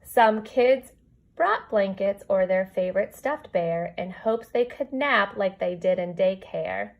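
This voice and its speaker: A woman with a mid-pitched voice reads a children's story aloud as a kindergarten teacher would. Her delivery is expressive and addressed directly to young children, moving between the rhyming text and chatty asides. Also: takes it slowly, gravelly, phone-quality sound. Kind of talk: animated read-aloud of a children's picture book with chatty asides.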